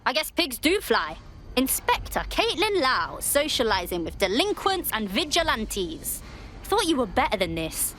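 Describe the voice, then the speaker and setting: Game cast: defiant hacker rebel, highish, very animated, blunt. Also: female voice, unhurried, slight background noise, heavily acted. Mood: teasing. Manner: blunt, challenging. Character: brash, defiant female hacker resistance fighter. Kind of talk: game cutscene acting.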